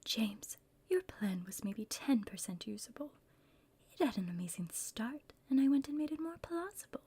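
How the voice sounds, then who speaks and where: A scheming cartoon villainess played by a woman in a whispered ASMR roleplay. Her voice is higher-pitched and extremely breathy, with an impatient, commanding edge as she lays down orders like a conspirator. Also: animated, moderate pace, a little mellow. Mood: exasperated, scolding.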